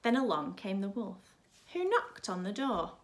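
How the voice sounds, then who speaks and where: A woman's voice: medium pitch, big pitch swings, unhurried, heavy background noise. A woman in her thirties, a primary school teacher, reading expressively to young children. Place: small furnished room at home, indoors.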